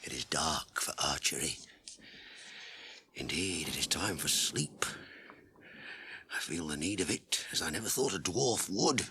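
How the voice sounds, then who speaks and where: An actor in a radio drama playing a proud, battle-hungry dwarf warrior, gruff and deliberate. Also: male voice, a bass voice, lively intonation, bright, forceful tone, a little hiss or hum, heavily acted. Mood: weary, restless. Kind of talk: 1980s radio drama acting.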